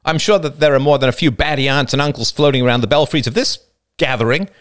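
A man plays an anxious young man in an audiobook story, his voice pushed high and swinging widely. He stammers defensively, with pressured, halting speech. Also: sing-song. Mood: loud, pressured.

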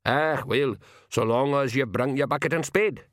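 A man's voice: medium pitch, normal speed, no echo, studio quality, voice pushed up, close to an everyday voice. A man voicing a blunt, cajoling old male pixie with a Scottish accent for an audiobook. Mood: wry, cajoling. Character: old male pixie, bossy, folksy spokesman for his chief. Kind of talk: audiobook character voice.

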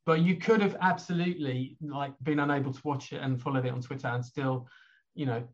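A hoarse man, hosting an online chat show in a rambling, chatty way with lots of fillers. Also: medium pitch, fairly even intonation, fairly quick, muffled recording. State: hoarse from a cold. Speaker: host.

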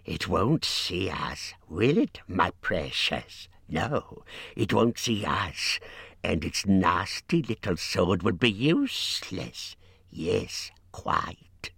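A man voicing a wretched, spiteful cave creature for a storybook, with a strangled, hissing voice and drawn-out, repetitive muttering. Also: low-pitched, sing-song, creaky, a bit bright, breathy edge, no echo, studio quality, full character voice. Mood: sly, whispering. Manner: drawn-out, repetitive, muttering to himself in odd grammar.

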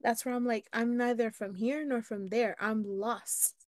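A woman whose first language is Spanish, chatting casually as a podcast co-host, animated and laughing often. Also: a little high, a little slow, no echo. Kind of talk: casual chat.

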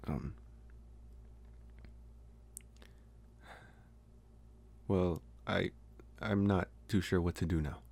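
A young man playing a socially awkward college vampire, possessive yet earnest, speaking haltingly in an intimate ASMR roleplay. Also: deep voice, steady tone, vocal fry, a little mellow, full character voice. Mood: sheepish. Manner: halting, hesitant, trying to sound intimidating.

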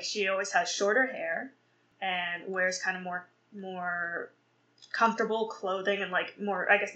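A woman's voice: mid-range voice, bright, forceful tone, poor audio. Rambling young woman reviewing a film. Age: 20s. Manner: rambling and self-correcting, with frequent fillers and short laughs. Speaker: podcast host. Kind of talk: casual solo movie review.

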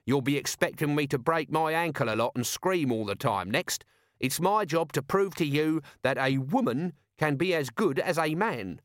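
Scruffy comic corporal acted by a male narrator: cheeky, huffy, needling, higher pitch, lively intonation. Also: normal speed, no room sound, clean studio sound, natural voice. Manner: needling, colloquial. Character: cheeky, sulky scruffy corporal insisting he's a woman.

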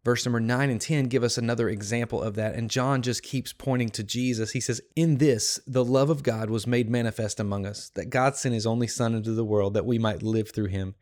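Slightly hoarse man teaching the Bible. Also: mid-range voice, steady tone, a little fast, few pauses, darkish tone. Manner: earnest, encouraging, emphatic repetition. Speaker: podcast host. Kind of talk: devotional Bible teaching podcast.

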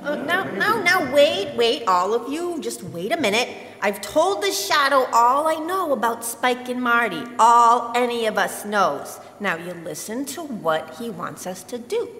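A woman's voice: on the high side, animated, a little slow, a bit bright. A woman acting an earnest, insistent leader of street beggars in a live radio drama. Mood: firm, hushing. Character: Apple Mary, earnest take-charge female leader of beggars. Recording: echoey hall with crowd behind.

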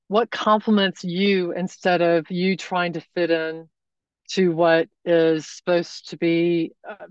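A woman speaks as a wardrobe consultant on a podcast, unhurried and thoughtful, with pauses as she works out each point. Her voice sits fairly low. The sound is dull and dry, like a remote call. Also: no echo. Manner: thoughtful, measured explanations with pauses. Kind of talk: podcast interview answers.

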